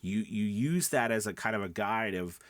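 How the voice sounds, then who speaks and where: Guy with a lowish voice, chatty coach explaining stuff, emphatic, keeps checking you follow, real dry close sound. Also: animated, moderate pace, fairly bright tone, no echo. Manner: conversational, emphatic, often checking in with listeners. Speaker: voiceover coach and podcast host. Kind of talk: casual instructional talk.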